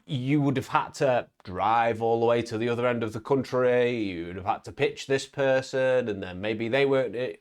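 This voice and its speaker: A young man giving a casual motivational talk to his viewers, emphatic, with frequent "right?" tags and stumbling restarts. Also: medium pitch. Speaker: vlogger on online business.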